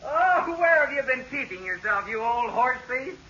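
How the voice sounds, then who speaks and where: An actor playing an excitable, easily exasperated husband with an emphatic, blustering manner in a 1940s radio sitcom. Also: male voice, very high voice, moderate pace. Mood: hearty, jovial. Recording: scratchy muffled old-time radio recording.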